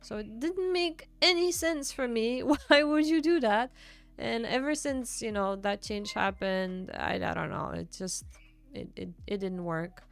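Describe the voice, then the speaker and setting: A woman's voice: fairly high pitch, creaky, clean tone, faint music behind. A young woman from Bulgaria, chatting casually with her livestream viewers and answering chat. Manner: chatty, reads and answers chat, quick laughs. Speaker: streamer.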